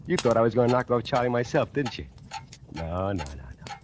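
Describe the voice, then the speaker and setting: An actor playing a sly gloating killer. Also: male voice, mid-range voice, big pitch swings, brisk, background noise, natural voice. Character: scheming male factory worker narrating his murder plot. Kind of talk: 1940s radio acting. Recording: old radio broadcast with music.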